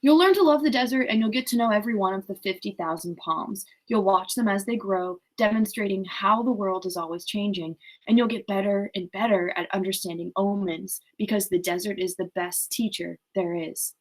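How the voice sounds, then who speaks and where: A woman reading aloud the part of a wise male alchemist, a knowing mentor who foretells things in a measured storybook manner. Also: mid-range voice, lightly acted, low-quality recording. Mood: calm, foretelling.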